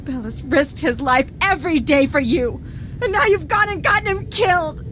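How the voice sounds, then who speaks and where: A woman playing a spy's fiercely protective, sharp-tongued wife in a video game. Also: high voice, moderate pace, slight background noise, poor audio. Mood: bitter grief.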